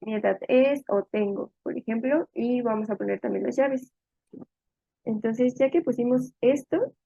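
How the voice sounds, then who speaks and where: A woman's voice: medium pitch, gravelly, no room sound. A woman instructor narrating a step-by-step tutorial in an informal, think-aloud explanatory way as she types. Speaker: programming instructor. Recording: narrow phone-like sound, dry.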